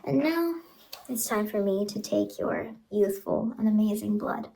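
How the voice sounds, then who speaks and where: A woman voicing a sinister, playful immortal clown in a horror ASMR roleplay, murmuring softly and coaxingly to the listener and often slipping into whispers. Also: medium pitch, expressive, a little slow, gravelly, poor audio. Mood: sinister glee.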